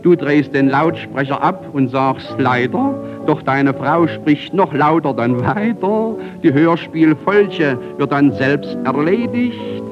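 An actor playing a wry radio announcer who signs off in rhyme, 1920s cabaret style, over music on a dull archive recording. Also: male voice, on the high side, lively intonation, slow pace, few pauses.

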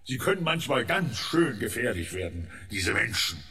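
Forceful, wary cartoon elephant. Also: male voice, medium pitch, very animated, moderate pace. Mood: ominous warning. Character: wary dream elephant warning against dangerous humans. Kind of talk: German children's radio play acting.

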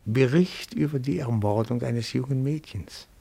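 An actor playing a timid, reverent elderly retired bookkeeper, pedantic and deferential, with a gravelly, breathy voice in a German radio play. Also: male voice, medium pitch, mellow. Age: older. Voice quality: gravelly, husky, often breathy. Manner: deferential, pedantic, slow and careful.

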